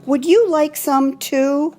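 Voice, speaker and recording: A woman voicing a greedy but kind-hearted male bee in a children's storybook, with drawn-out, dramatic delivery. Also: high voice, darkish tone, soft background music, pitched above the performer's own voice. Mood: sheepish, generous.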